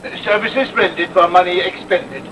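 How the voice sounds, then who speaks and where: An actor playing a timid, deferential assistant in an old-time radio drama, hesitant and meek. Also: male voice, high-pitched, moderate pace, natural voice. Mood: nervous, obedient. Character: timid, deferential male assistant to a rich boss. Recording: noisy vintage radio recording.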